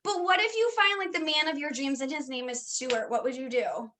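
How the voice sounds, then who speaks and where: High-voiced giggly woman. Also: a little fast, a little hiss or hum. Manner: giggly, expressive storyteller. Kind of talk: casual chat.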